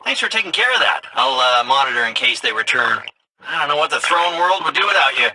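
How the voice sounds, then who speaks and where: A man's voice: a little high, very animated, quick pace, background noise. A man voicing an awkward, eager little robot informant in a video game, hesitant and casual, through a radio filter. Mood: awkwardly grateful.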